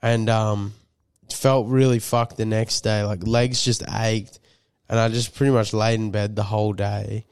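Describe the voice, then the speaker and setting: Male podcast host, low clear voice, slightly nasal, rambling casual delivery, close dry mic. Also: little pitch movement, unhurried, darkish tone, no room sound. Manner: rambling, swears a lot, many fillers. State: a bit nasal from a cold. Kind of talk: casual chat.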